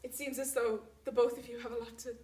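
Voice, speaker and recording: A woman playing a kindly, self-sacrificing old aunt in a stage drama, gentle and fussing, often ending remarks with "eh?". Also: a little high, level tone, fast, close to an everyday voice. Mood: tender, coy. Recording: noisy, echoey room, distant microphone.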